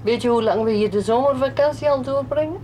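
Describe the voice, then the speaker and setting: A woman acting a middle-aged mum in a radio play, nostalgic and sentimental. Her voice sits fairly high, with faint noise in the background. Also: fast, warm tone, close to an everyday voice, poor audio. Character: sentimental, nostalgic mother on a family holiday. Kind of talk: radio drama dialogue.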